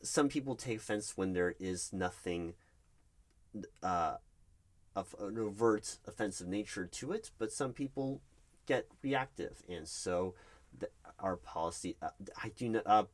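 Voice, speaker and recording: A man's voice: lowish voice, unhurried, lightly acted. A man playing a nervous, flustered recruiting manager in an interview roleplay, stammering and awkwardly polite. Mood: flustered, uneasy. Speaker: roleplay performer. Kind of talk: one-sided interview roleplay.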